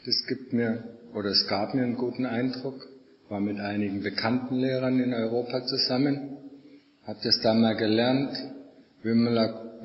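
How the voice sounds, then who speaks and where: A middle-aged man, a Buddhist monk, giving an informal dharma talk in a conversational way, repeating phrases for emphasis. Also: medium pitch. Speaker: Buddhist monk and meditation teacher. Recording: echoey hall, long reverb, muffled sound.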